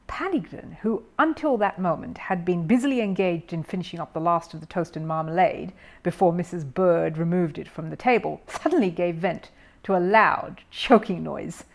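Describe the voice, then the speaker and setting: A woman's voice: lowish voice, normal speed, gravelly. A woman reading a children's story aloud as scripted narration.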